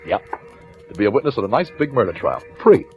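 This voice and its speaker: An actor playing a shrewd, weary police lieutenant in an old-time radio crime drama, with clipped colloquial delivery. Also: male voice, mid-range voice, very animated, quick pace, natural voice. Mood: smug. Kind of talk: old-time radio crime drama acting, first-person narration and dialogue. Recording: dull vintage radio recording with music.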